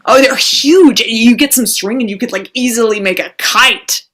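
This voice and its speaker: A woman's voice: fairly high pitch, moderate pace, fairly bright tone. A woman on stage playing a chatty, self-mocking young woman with anxiety underneath, in naturalistic stage acting. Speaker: actor in a staged play reading.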